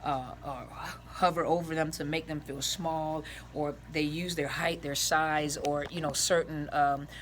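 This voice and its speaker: Raspy-voiced woman counselor, rambling. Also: deep voice, fairly even intonation, unhurried, slight background noise. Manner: rambling, earnest, repeats and restarts phrases; gestures with her hands as she talks. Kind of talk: informal advice talk to viewers. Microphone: camera set on the floor.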